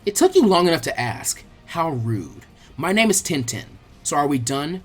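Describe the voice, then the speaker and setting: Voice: mid-range voice, very animated, normal speed, slightly rough, faint music behind. A narrator voicing a tough young ninja girl who talks in a curt, scornful, scolding way in an audiobook story. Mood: irritated, dismissive.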